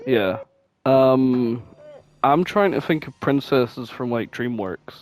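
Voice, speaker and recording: A man's voice: mid-range voice, slow, a little mellow. A man chatting casually as a podcast co-host, informal and prone to swearing. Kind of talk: casual chat, guessing game.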